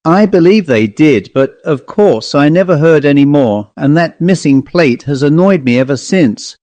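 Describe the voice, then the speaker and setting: A man's voice: a little high, lively intonation, normal speed, mellow, no echo, close to an everyday voice. A man voicing a keen, genteel older woman antiques dealer in a storybook reading, chatty and politely determined. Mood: musing.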